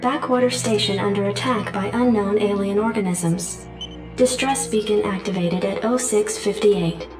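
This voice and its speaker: A calm, formal computer adjutant who reads out briefings and alerts, as a woman acts her out. Her voice sounds very echoey and set back from the mic, with music underneath. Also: medium pitch, normal speed, lightly acted. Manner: formal, even announcements. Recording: echoey distant game audio with music.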